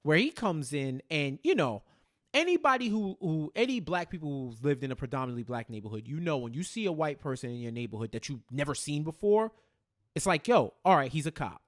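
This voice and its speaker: Higher-pitched American man, casual recap co-host. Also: sing-song, brisk. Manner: acts out characters' lines in slangy speech, repeating phrases for emphasis. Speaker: podcast co-host. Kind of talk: casual, animated TV recap chat.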